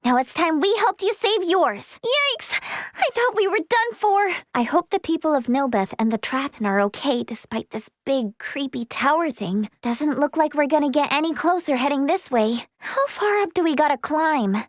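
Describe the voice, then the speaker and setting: A very high, animated voice of a cheerful teenage girl, inquisitive and quick to tease. She sounds like an excitable video game heroine with an eager scientific streak, recorded close and dry. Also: dry recording. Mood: upbeat, curious. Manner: perky, animated, teasing, youthful. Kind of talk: video game character dialogue.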